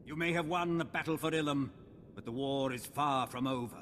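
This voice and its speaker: A haughty Sith lord, played by a man, threatens in a slightly high, cold voice in a video game. The sound rings with a long hall reverb and a faint hiss. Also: brisk, a bit bright, lightly acted. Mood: menacing. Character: arrogant male Sith lord, defiant and vengeful.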